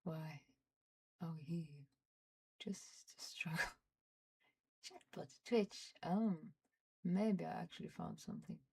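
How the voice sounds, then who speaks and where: A young woman from Norway, speaking English as a second language, talks slowly in a low, gravelly voice with a mellow, dark tone. She grumbles and curses at her software, often dropping to a muttered whisper. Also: low-quality recording. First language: Norwegian. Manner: frustrated, swearing, muttering under her breath. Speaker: streamer. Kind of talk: casual livestream chat while fiddling with software.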